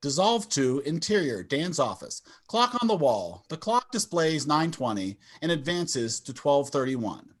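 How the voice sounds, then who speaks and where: Animated male script narrator. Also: on the high side, a little slow, hardly any pauses. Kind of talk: scripted narration of stage directions. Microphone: video call.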